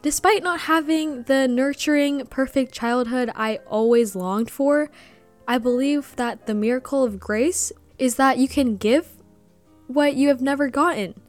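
A woman's voice: sits high, measured pace, no echo, faint music behind. A young woman hosting a personal podcast, speaking earnestly and reflectively about growing up, with Vietnamese as her first language. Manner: earnest, soft-spoken, breaks into giggles at the end. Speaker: podcast host.

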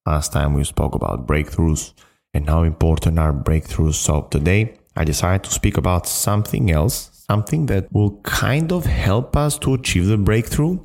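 A man hosting a solo motivational podcast, speaking in a halting, repetitive and emphatic way. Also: deep voice, vocal fry, a little mellow. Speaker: podcast host.

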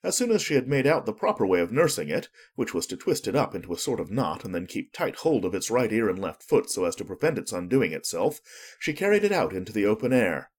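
A man's voice: lowish voice, very animated, fast, husky. A man narrating a storybook as a scripted audiobook, telling it expressively.

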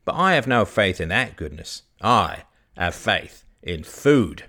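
A man voicing a blunt old rustic farmer who speaks in a folksy, deliberate way. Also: lowish voice, sing-song, pushed and bright, heavily acted. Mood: gruff persuasion.

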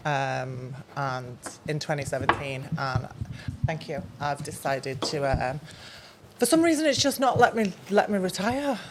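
A woman's voice: low-pitched, animated, unhurried, very noisy. Chatty Mancunian woman guest. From Manchester, England. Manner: chatty and upbeat, storytelling. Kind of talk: casual podcast chat.

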